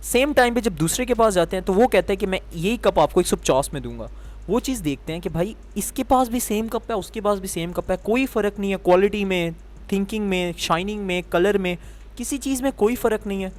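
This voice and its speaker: A man's voice: high-pitched, brisk. Man teaching with quiz-style questions. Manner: explains step by step, asking the viewer questions and answering them himself. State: sore throat. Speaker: trading teacher. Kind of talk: explanatory lesson.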